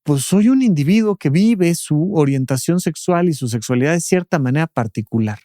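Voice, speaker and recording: A man's voice: on the high side, expressive, normal speed, warm tone, no room sound. A man who speaks as a doctor and expert, explaining patiently and tagging his points with rhetorical questions in a podcast studio.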